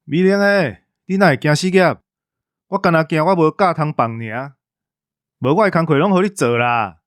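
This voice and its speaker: A man's voice: on the high side, normal speed, no echo, studio quality. A man with a Taiwanese accent co-hosting with casual comic banter, playfully whining and complaining. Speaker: co-host.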